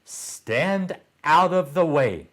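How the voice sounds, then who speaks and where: Man voicing an urgent, high-pitched storybook boy. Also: expressive, drawn-out words, a bit bright, full character voice. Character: nimble boy Jack urgently calling his mother. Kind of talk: storybook read-aloud character.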